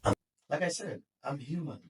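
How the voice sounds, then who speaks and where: A man's voice: fairly high pitch, expressive, moderate pace. Earnest Nigerian man on a distant mic. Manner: earnest, exhorting. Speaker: podcast studio founder. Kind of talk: casual interview.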